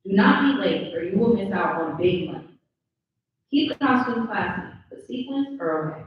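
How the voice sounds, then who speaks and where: A woman's voice: mid-range voice, lively intonation, moderate pace. Distant, echoey woman narrating a script. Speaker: narrator reading stage directions. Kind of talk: scripted narration at a live table read. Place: live event in a room. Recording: very echoey room, distant, slightly dull.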